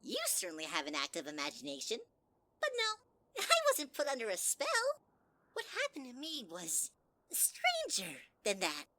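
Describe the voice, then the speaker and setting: A woman's voice: huge pitch swings, normal speed, pushed and bright, dry recording. A woman voicing a sweet-talking, sinister male flower in a fan audio drama, syrupy and teasing. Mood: sugary. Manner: syrupy, teasing, swings high and low.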